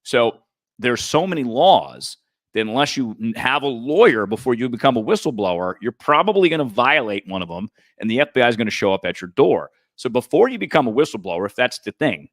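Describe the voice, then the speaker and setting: A man's voice: mid-range voice, sing-song, brisk, no room sound, clean studio sound. A man co-hosting a podcast, explaining in an earnest, casual political-talk manner. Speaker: podcast co-host.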